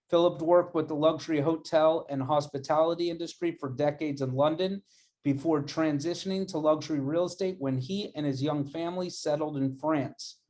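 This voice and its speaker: A man's voice: a little high, a little mellow. A man hosting an online interview series, upbeat and effusive. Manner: enthusiastic, effusive. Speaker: interview host. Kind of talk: upbeat video-call interview.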